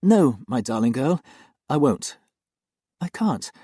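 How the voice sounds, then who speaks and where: A man's voice: mid-range voice, big pitch swings, takes it slowly, warm tone, husky, no echo, studio quality, pitched above the performer's own voice, exaggerated voice. A man voicing a frightened young woman nanny, pleading earnestly through tears. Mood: tender.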